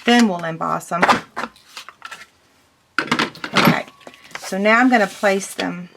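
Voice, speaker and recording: A woman demonstrating paper crafts in a casual tutorial, her voice squeaky from strain, chatting through each step with ums. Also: background noise.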